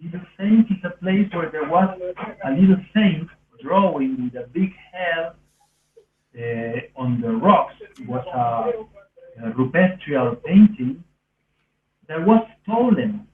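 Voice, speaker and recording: Man, Argentinian accent, higher-pitched voice, rambling earnest storyteller, non-native phrasing, roomy echo. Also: animated, takes it slowly, crowd noise. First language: Spanish. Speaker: podcast speaker. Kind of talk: casual autobiographical storytelling. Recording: roomy, distant, phone-like thin sound.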